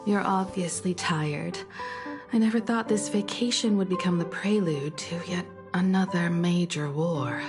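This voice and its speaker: A woman playing a composed, caring starship navigator in a video game, speaking in a gentle, reflective, measured way like a proud mentor. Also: fairly low pitch, music in the background, close to an everyday voice. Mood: gentle concern. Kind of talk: video game story dialogue.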